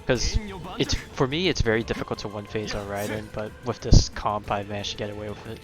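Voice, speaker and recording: A man's voice: fairly low pitch, fairly quick, poor audio. A man live streaming a video game, thinking aloud in casual, rambling commentary that often trails off. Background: video game music.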